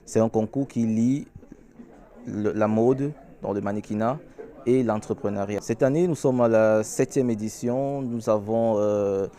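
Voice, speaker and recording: A man who organizes the pageant explains the contest in an interview, pausing often with fillers. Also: on the low side, mellow. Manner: halting, many fillers and restarts.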